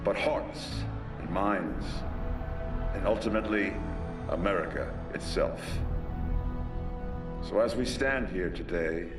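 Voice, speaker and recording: An actor playing a grave male orator who gives a solemn patriotic speech as the narrator of a game's opening cinematic, measured and commanding. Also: low voice. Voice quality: measured and commanding, with weighty pauses. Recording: echoey, distant, under loud music.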